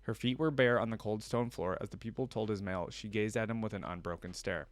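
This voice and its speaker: A man with a fairly low voice reads a story aloud for a reaction video. His telling is expressive and slips into casual commentary. The sound is dry, with little room around it. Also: brisk, no echo.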